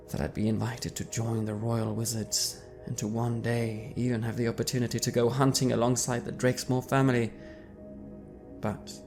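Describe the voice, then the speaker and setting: A man reading his tabletop character's backstory aloud in the first person with a measured storytelling cadence. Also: medium pitch, creaky. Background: faint background music.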